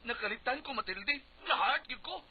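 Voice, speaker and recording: A man's voice: very high voice, fairly quick, husky. A man with a Cebuano accent playing a touchy, wounded, hot-tempered husband and candidate in a comic radio drama, whining melodramatically. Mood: indignant, outraged. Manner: melodramatic, whining, repeating himself. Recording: noisy, dull lo-fi radio recording.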